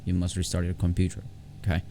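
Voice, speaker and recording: A man with a very deep voice and a lot of fry walks viewers through software step by step. His delivery is informal and halting, often doubling back on words. A faint layer of noise sits behind the close desktop-mic recording. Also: monotone. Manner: halting, repeats words and phrases. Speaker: tech tutorial vlogger. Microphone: separate desktop condenser microphone. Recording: low background noise on home recording.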